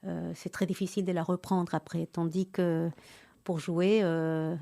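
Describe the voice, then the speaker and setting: Argentine-born pianist and actress, middle-aged, halting and chatty, warm lowish tone. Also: female voice, level tone, gravelly. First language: Spanish. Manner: hesitant, many restarts and fillers. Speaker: pianist and actress, interview guest. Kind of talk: relaxed conversational interview.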